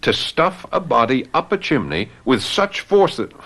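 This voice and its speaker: Earnest, excitable young man, animated pitch. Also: normal speed. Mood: puzzled, insistent. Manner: insistent, plain-spoken. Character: earnest, baffled, jealous young fiancé, hot-headed. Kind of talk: radio mystery drama acting.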